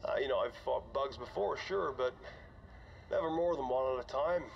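A dull, slightly noisy recording of a man acting as a weary starship commander keeping a game audio log. He is older and conscience-stricken, talking in a halting, confiding way at a middle pitch. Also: creaky, close to an everyday voice. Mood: jittery. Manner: conversational, halting, sighing.

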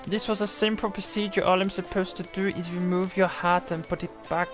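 Young guy streamer w a fake german-ish accent, kinda high voice, swears a lot and yells when stuff works. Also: fairly quick, over music. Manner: mock German-style accent drifting into a made-up one; swearing, flustered, bursts of excited shouting. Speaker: game streamer. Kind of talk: live let's-play commentary.

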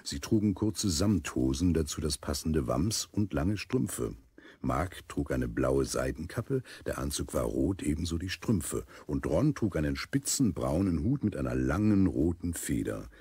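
A male narrator with an extremely low, rough voice, recorded close and dry. He tells a children's adventure story with amusement, speaking to young listeners. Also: very animated, unhurried, warm tone, no echo, full character voice. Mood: amused storytelling. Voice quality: gravelly, husky. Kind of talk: scripted narration of a children's audio drama.

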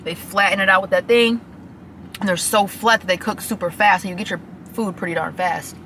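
A woman's voice: mid-range voice, fairly even intonation, a little fast, sharp tone, a little hiss or hum. A woman of twenty chatting to her phone camera from a parked car, gushing and casual, often saying y'all. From Michigan. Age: 20s. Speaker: vlogger.

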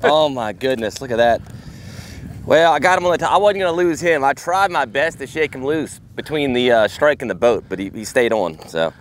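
A man's voice: mid-range voice, expressive, fairly quick. A man hosting a casual fishing vlog from an open boat, talking with exclamations and self-deprecating banter. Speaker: fishing show host. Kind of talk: casual chat while fishing, excitable. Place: small open boat on a lake, outdoors. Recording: outdoor noise on an open boat.

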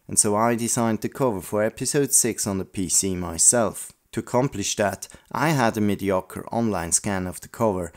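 Low-voiced man hosting a scripted retrospective. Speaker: video host, audio play collector.

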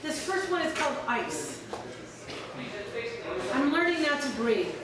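A middle-aged American woman reading her poems slowly at an open mic, with chatty asides between them. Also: high voice, very animated. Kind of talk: poetry reading. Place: small cafe stage at night. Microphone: stand mic, camera from across the room. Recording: echoey room, distant camera mic, crowd. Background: audience in the cafe.

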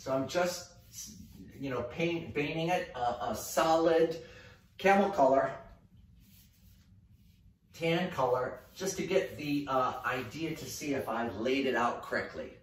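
A middle-aged man giving a casual painting demonstration, talking haltingly and thinking aloud as he works. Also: medium pitch. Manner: relaxed, halting, thinking aloud while working. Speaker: mural painter presenting a tutorial. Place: indoor room, painting at a wall. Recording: echoey room, distant mic, faint noise.

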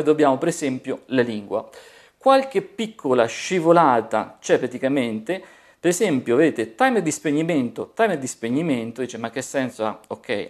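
A man's voice: medium pitch, a little mellow. A man from Italy hosting a hands-on product review, explaining features in an informal, chatty way. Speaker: tech reviewer, video host.

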